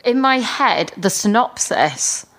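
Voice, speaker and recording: A woman's voice: medium pitch, animated, takes it slowly, fairly bright tone. A middle-aged woman co-hosting a podcast, bantering casually with playful, teasing interjections. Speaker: podcast co-host.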